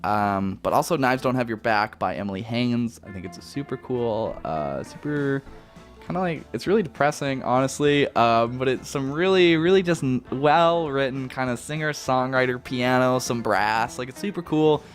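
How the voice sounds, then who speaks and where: A young Canadian man chats casually through viewer questions, his pitch jumping up and down as he talks. He wanders off and corrects himself often, all over quiet background music. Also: expressive, moderate pace. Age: young adult. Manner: rambling, self-correcting, laid-back. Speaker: YouTuber answering viewer questions. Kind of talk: casual Q&A chat.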